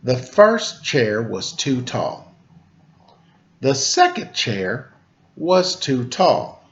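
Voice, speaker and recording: A slightly dull, lo-fi recording of a man telling a children's story. He takes his time with dramatic pauses and swings his pitch in a very lively way. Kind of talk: animated children's story narration.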